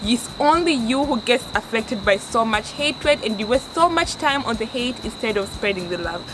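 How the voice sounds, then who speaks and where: A woman's voice: a little high, moderate pace. A woman in her twenties from Tanzania, with a Tanzanian accent, giving earnest life advice in a casual vlog and often restarting her sentences. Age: 20s. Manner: earnest, restarts often, stresses words for emphasis. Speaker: vlogger. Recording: steady background noise.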